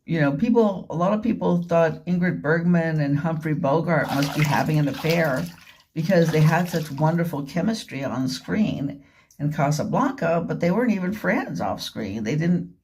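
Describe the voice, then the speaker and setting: A woman giving a rambling, informal spoken commentary, halting and repetitive, leaning on words for emphasis. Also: deep voice, lively intonation, a little mellow, slight background noise. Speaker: vlogger. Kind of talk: rambling informal monologue retelling and commenting on a radio drama.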